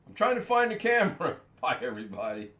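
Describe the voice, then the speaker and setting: A man's voice: a little high, very animated, fast, a bit bright. Rambling, chuckling man hosting a home livestream. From United States. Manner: rambling, self-deprecating, chuckling often. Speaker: livestream host. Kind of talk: casual livestream chat. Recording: echoey room with faint ambience.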